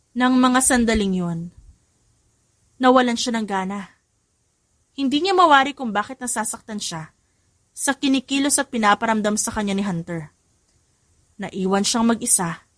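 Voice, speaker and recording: A woman's voice: on the high side, no echo. A woman giving a scripted, dramatic narration of a story as an audiobook narrator. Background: background music.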